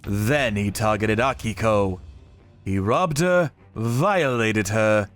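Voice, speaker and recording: A man reading scripted dramatic narration in a grave, measured way. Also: lowish voice. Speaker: narrator of a manga story, telling it in first person as a vigilante character. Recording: music behind the voice.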